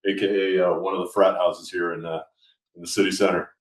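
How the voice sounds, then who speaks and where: Middle-aged guy from france on a video call, low steady voice, echoey room. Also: brisk. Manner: relaxed, self-deprecating, many fillers. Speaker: podcast guest. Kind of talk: casual conversation.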